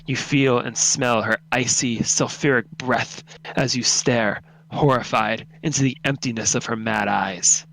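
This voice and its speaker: A man's voice: mid-range voice, fairly even intonation, unhurried, creaky, a bit bright, rough recording. A man narrating a second-person horror story in a dramatic, solemn, intense reading.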